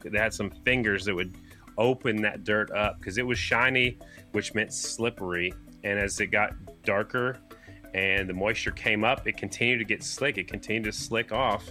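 Bright, forceful lower-pitched man. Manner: explanatory, detailed. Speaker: podcast co-host. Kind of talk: casual race commentary.

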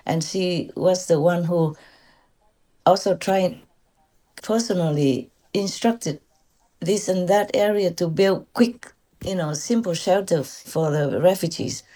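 Mellow-voiced Vietnamese woman telling stories. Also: on the low side, slow, rough recording. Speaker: spiritual teacher answering followers. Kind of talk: casual storytelling talk to a group.

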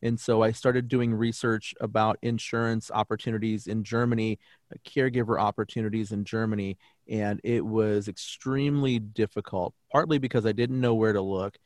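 A man's voice: on the low side, fairly even intonation, moderate pace, a little mellow, no room sound. Earnest man with a dry, close sound. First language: English. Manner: earnest, reflective, with frequent restarts. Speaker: German teacher and podcast guest. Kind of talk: conversational podcast interview.

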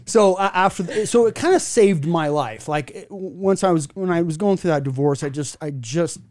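Mellow-voiced enthusiastic male host. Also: fairly high pitch, normal speed, a little hiss or hum. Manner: enthusiastic, rambling, with many restarts. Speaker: podcast host. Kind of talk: casual chat.

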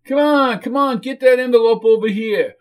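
A man's voice: sits high, moderate pace, voice pushed up, close to an everyday voice. A man voicing a bossy, impatient tough guy who talks bluntly and urgently in a crime story.

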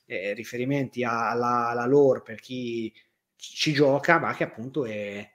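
A man's voice: medium pitch, moderate pace. A man chatting casually as a co-host, telling stories emphatically, repeating himself and swearing freely. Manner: animated storytelling, swearing, emphatic repetition. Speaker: co-host of a livestream talk show. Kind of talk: casual chat.

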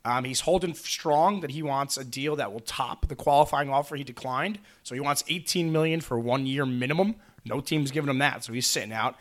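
A man co-hosting a sports podcast with a slightly raspy, hoarse voice, talking quickly and casually with frequent restarts. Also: medium pitch. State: hoarse after a busy weekend. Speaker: podcast co-host.

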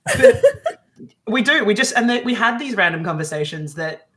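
A woman's voice: medium pitch, big pitch swings, normal speed, fairly bright tone. A woman with an Australian accent chatting casually in a group, jokey and often interrupting herself. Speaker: podcast panellist and role-play podcast player.

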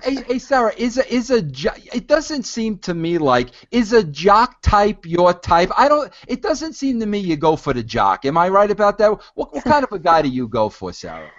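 Brief: man in his fifties, bossy executive, swooping animated delivery, muffled lo-fi sound. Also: sits high, moderate pace, hardly any pauses. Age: 50s. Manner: teasing, pushy, interrupts. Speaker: network executive. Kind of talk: bossy coaching of interviewers.

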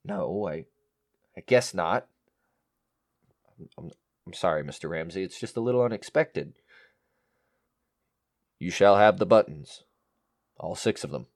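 An actor playing a smug, scheming murderer after an inheritance in an audio drama, speaking theatrically. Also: male voice, deep voice, animated, measured pace, long pauses. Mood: flustered, apologetic. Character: scheming murderous male heir, smug, feigning grief.

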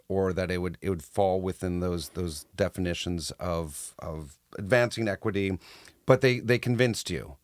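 A man interviewing a guest, framing his questions haltingly with many repeated words. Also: deep voice, dry recording, studio quality.

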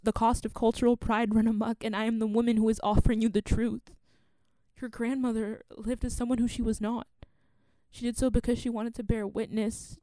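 An actress playing a solemn, resolute woman in a radio play, speaking in a measured, earnest way. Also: fairly high pitch, warm tone, dry recording, natural voice. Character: solemn, resolute woman confessing a long-hidden identity and grief.

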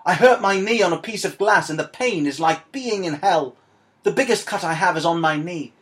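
A man's voice: high voice, expressive, normal speed, fairly bright tone. A man voicing an earnest, sad young girl in a storybook reading, solemn and melodramatic. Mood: solemn pleading. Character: earnest, sad seven-year-old girl reciting her Christmas letter.

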